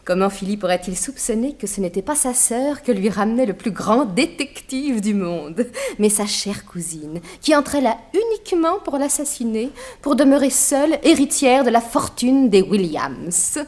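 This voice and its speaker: A woman's voice: fairly high pitch, very animated, normal speed, a little mellow. An actress playing a cruel, gloating female master criminal in an old radio crime serial, mocking and savouring her threats. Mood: mocking triumph.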